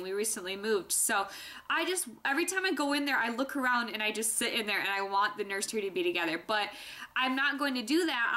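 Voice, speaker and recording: A woman's voice: mid-range voice, bright, forceful tone. A young woman chatting candidly to her viewers in a casual vlog, a little stuffy-nosed. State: stuffy nose. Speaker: vlogger. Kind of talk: casual pregnancy vlog chat.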